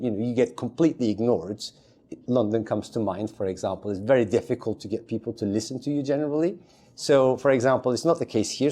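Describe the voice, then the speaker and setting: A man who grew up in Turkey talks as a podcast guest with a mellow, dark-toned voice. He rambles loosely, circling back with fillers and restarted phrases, at an ordinary middle pitch. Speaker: podcast guest, community advisor. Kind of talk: casual chat.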